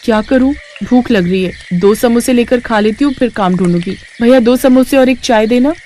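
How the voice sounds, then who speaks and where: A woman's voice: on the high side, normal speed, darkish tone, a little hiss or hum, lightly acted. A woman voicing a determined, good-natured young daughter-in-law in a cartoon story, polite and earnest. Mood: curious. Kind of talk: Hindi cartoon story acting.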